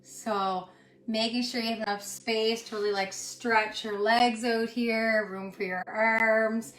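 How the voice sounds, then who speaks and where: A woman teaching yoga and guided meditation in a soothing, unhurried way, pausing between short phrases. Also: fairly high pitch, level tone, fairly bright tone. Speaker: yoga instructor. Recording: roomy echo with music underneath. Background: music.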